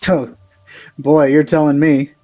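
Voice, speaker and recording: A man's voice: a little high, flat delivery, slow, dark tone. A man hosting a let's play, giving casual, hesitant, rambling commentary as he plays. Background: faint game music.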